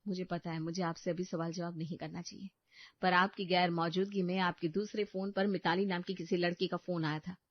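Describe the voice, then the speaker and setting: A woman's voice: on the low side, little pitch movement, a little fast, no echo, muffled recording, lightly acted. A woman voicing a serious, weary young married sister-in-law in a cartoon story, troubled and questioning. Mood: suspicious.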